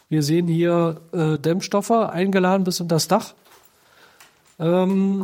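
High-voiced forestry man explaining. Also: warm tone, no room sound. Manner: explanatory, with fillers like "praktisch" and "äh". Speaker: forestry official. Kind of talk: on-camera interview.

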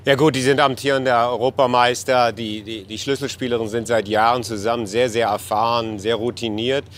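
A man from Berlin, a basketball coach, answering interview questions with hesitant, halting phrasing. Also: mid-range voice, flat delivery, fairly bright tone. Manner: hesitant, many fillers and restarts. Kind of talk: news interview.

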